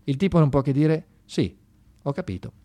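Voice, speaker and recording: A man delivers a conversational theatrical monologue with a sardonic, colloquial, animated manner, moving between emphatic exclamations and quieter asides. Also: a little high, moderate pace. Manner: animated, swinging from shouted exclamations to hushed asides. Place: live theatre performance.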